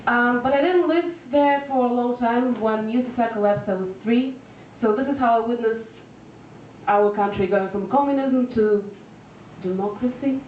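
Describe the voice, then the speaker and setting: A woman, performing a deadpan stand-up set full of fillers and restarts, recorded live at a venue. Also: fairly high pitch, moderate pace, slight background noise. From Russia. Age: 20s. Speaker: stand-up comedian. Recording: echoey lo-fi room recording from far off.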